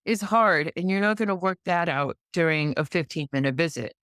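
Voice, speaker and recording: American woman, middle aged, lowish smooth voice, talking emphatically in an interview, really dry close sound. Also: expressive, brisk, a bit bright, no echo. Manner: emphatic, rhetorical questions and repetition. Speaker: podcast guest, pediatrician. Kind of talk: conversational interview account.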